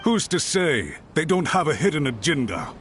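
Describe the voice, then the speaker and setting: An actor playing an anxious, overbearing clan retainer in an anime fantasy game, speaking in an agitated, insistent, suspicious way. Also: male voice, a little high, sing-song, moderate pace, loud music behind the voice.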